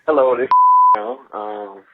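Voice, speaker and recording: A man's voice: mid-range voice, unhurried, dry recording. A man leaving a rambling voicemail, stammering and repeating words, saying "you know" often, on an old answering machine tape. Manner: repeats words when excited, says "you know" a lot. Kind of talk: rambling phone message. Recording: muffled phone answering machine.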